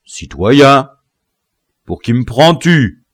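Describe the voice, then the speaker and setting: A man's voice: fairly low pitch, very animated, takes it slowly, no room sound, studio quality, exaggerated voice. A man voicing an imperious rebel general in an audiobook, haughty and severe, cruelly toying with his captives. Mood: angry. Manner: haughty, severe, impatient, emphatic.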